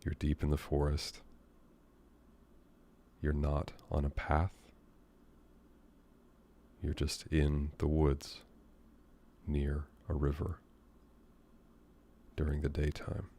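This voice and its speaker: An American man leads a guided meditation in an extremely low, dark and relaxed voice. His delivery is almost monotone, with very little rise or fall. He speaks gently and without hurry, leaving long pauses as he improvises his guidance. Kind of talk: guided meditation, soothing and unscripted.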